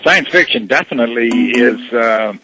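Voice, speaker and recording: A man on a phone call, answering casually as a church representative, in a measured, guarded, explanatory way. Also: medium pitch, animated, bright, forceful tone, soft background music.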